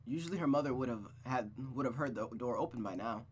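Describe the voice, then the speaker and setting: A host reading a visual novel aloud, then breaking off into blunt, excited asides. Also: medium pitch, fast, husky. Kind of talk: narration with casual reactions.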